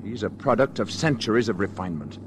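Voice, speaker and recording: Noisy old radio recording, male actor as solemn alien visitor, lowish voice, composed, instructing. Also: expressive, fast, natural voice. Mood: grave, insistent. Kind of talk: old-time radio drama acting.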